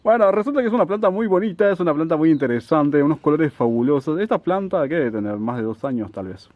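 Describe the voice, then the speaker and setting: Chatty guy from the northwest, kinda high voice, dry dull sound. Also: expressive, no echo. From Salta, Argentina. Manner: chatty, unhurried, frequent "¿no?" asides. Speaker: succulent grower, channel host. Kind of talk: casual show-and-tell.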